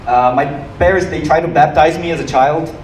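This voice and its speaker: Higher-voiced Ukrainian man doing stand-up, roomy recording. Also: fairly quick. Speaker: stand-up comedian. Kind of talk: stand-up comedy routine to a live audience. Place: small comedy club stage, live audience. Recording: roomy, noisy club recording from a distance.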